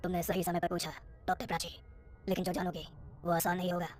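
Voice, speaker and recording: Woman playing a solemn, flat-toned hospital dean. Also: fairly low pitch, fast, soft background music, pitched above the performer's own voice, natural voice. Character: older male hospital dean, solemn and authoritative. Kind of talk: animated horror story dialogue.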